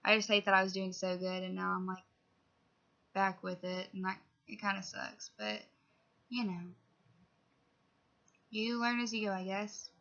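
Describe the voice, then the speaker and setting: A woman's voice: mid-range voice, steady tone, unhurried, long pauses, bright, forceful tone. A young woman chatting casually to camera in a rambling vlog update from home, sniffling from a cold, filling her talk with asides and fillers. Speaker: vlogger. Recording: dull lo-fi audio.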